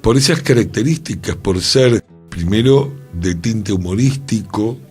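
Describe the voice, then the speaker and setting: A man with a Rioplatense accent co-hosting a cultural radio discussion, speaking deliberately and repeating words for emphasis. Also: fairly low pitch, darkish tone, soft background music. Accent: Rioplatense Spanish. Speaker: radio show co-host.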